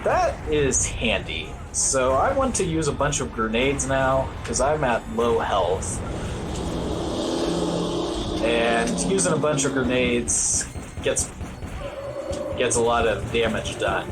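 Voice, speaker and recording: A man commentates a speedrun in a casual, rambling manner. His pitch moves around animatedly while the pace stays on the slow side. Game music plays clearly behind him. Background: video game music.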